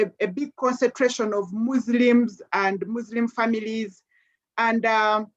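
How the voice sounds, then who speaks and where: A Ugandan woman with a somewhat high voice talks earnestly in a webinar, circling back over repeated words as she thinks. Her audio comes through a dull, lo-fi video call. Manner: earnest, repeats words while searching for the next one. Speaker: panelist. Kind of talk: webinar discussion, personal account.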